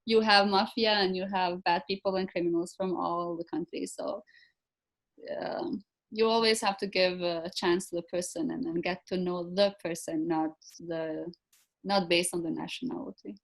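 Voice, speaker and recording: A woman's voice: on the low side, steady tone, normal speed, creaky, low-quality recording. A woman from Kosovo with an Albanian accent, a native Albanian speaker, talking as an interview guest and hesitantly searching for words. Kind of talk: conversational interview.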